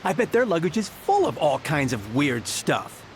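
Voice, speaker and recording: A brash, high smuggler henchman. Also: very animated, normal speed, a little hiss or hum. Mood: scolding. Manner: scolding, brash. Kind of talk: game voice acting.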